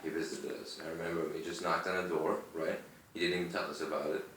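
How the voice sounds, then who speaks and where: A man's voice: low-pitched, flat delivery, fast, fairly bright tone, slight background noise. A man from Germany in casual conversation, rambling and telling stories. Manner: rambling, digressive storytelling. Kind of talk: casual chat. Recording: echoey room, far from mic.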